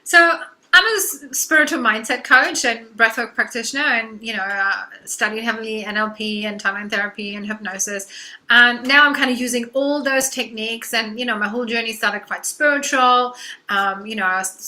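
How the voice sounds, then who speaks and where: A woman's voice: fairly high pitch, fairly even intonation, a little fast, sharp tone. A woman with a German accent, a guest coach being interviewed over a video call, enthusiastic, with frequent "um"s and "you know"s.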